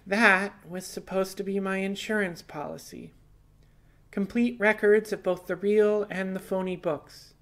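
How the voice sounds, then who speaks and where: Guy doing a young hacker girl for an audiobook, high voice, defiant and earnest, bit wry. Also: fairly even intonation, a little slow, fairly bright tone. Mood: pleading, defensive. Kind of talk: audiobook character dialogue.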